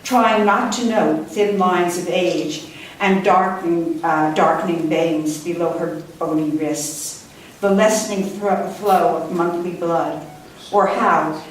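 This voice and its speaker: A woman poet reading her own work live at a book launch, with a measured, deliberate delivery and careful pauses. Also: lowish voice, steady tone. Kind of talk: live poetry reading with brief introductions. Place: indoor room before a live audience. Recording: distant mic, echoey room, faint noise.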